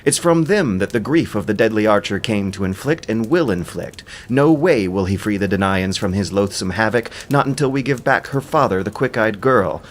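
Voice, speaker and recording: A man's voice: lowish voice, lively intonation, moderate pace, hardly any pauses, slight background noise, lightly acted. A man voicing a wise, wary seer in an epic audio drama, speaking in solemn, anxious declamation. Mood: solemn, bolder. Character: wary, wise seer fearing a powerful king.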